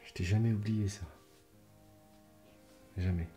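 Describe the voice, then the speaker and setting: A man playing a middle-aged hospital doctor still wounded by an old breakup, in a hushed ASMR roleplay. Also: fairly low pitch, fairly even intonation, measured pace, faint music behind, lightly acted. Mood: tender, earnest. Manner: hushed, whispery speech. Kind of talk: French ASMR roleplay acting.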